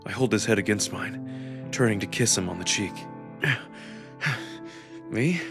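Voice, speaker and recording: A man performing dramatic first-person narration from a scripted story, with expressive, emotional emphasis. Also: lowish voice, a little slow, creaky. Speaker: narrator and voice performer of a visual novel. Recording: music behind the voice. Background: music.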